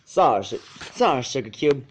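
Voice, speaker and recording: A man's voice: medium pitch, very animated, moderate pace, slightly rough. A man voicing a cheerful, friendly female farm pony in a story. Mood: bashful, friendly.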